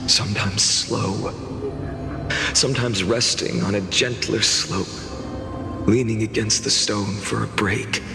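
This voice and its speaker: A man voicing a brooding, burdened warrior in a video game, sorrowful and quietly wrathful, with a solemn, weighty delivery. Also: on the low side, fairly even intonation, slow pace, vocal fry, big-room sound, over music. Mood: melancholic, reflective. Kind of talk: dramatic game voice acting, narration.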